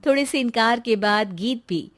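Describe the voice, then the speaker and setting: A woman narrator reading a scripted animated story. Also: on the high side, moderate pace.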